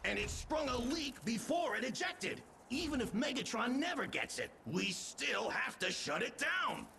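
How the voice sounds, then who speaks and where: An actor playing an anxious, brainy robot scientist in a cartoon-style video game, with a precise, technical delivery. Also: male voice, extremely high pitch, sing-song, unhurried, creaky, a little hiss or hum, heavily acted. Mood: analytical. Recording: echoey reverberant hall, loud music.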